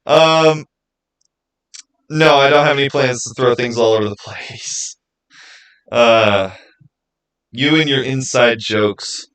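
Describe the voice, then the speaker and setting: A man hosting a livestream, talking informally and haltingly as he thinks aloud and answers his viewers. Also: mid-range voice, fairly bright tone. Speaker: streamer hosting a live channel Q&A. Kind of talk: casual livestream chat, answering viewer comments.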